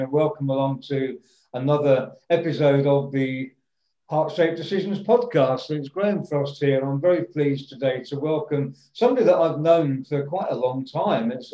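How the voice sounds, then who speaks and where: A man hosting a podcast, his mellow, dark voice muffled a little and echoing in the room. He speaks in a friendly, halting way, full of fillers and restarts. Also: on the high side. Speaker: podcast host. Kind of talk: casual interview.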